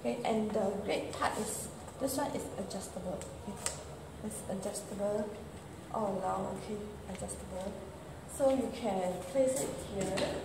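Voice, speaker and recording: A middle-aged Singaporean woman chatting casually for a home vlog in a halting, rambling way. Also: mid-range voice, creaky. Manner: halting, rambling, repeats okay. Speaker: home vlogger. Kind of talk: casual unscripted vlog chat. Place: bare-walled flat and semi-open balcony corridor. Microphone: handheld or propped phone or tablet, varying distance. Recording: noisy, echoey amateur home recording.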